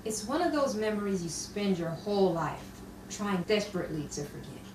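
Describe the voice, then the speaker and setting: An actress playing a caring woman confidante in a nostalgic radio drama, speaking in a measured, theatrical way. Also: medium pitch, animated, close to an everyday voice. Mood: rueful, reflective. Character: wry, caring female confidante, regretful letter writer. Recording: roomy, distant, noisy live stage recording.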